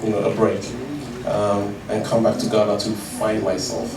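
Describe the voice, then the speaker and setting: A man's voice: fairly low pitch, completely flat delivery, normal speed. A man speaking hesitantly, with many fillers and restarts, in a conversational interview. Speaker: guest, tech founder. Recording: roomy, distant mic with clear background noise.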